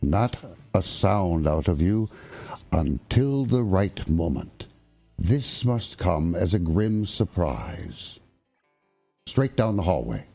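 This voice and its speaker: An actor playing a pompous, easily outraged centuries-old English ghost knight in a blustering, theatrical radio drama style. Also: male voice, low-pitched, big pitch swings, slow, vocal fry, dark tone, soft background music, heavily acted. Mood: conspiratorial.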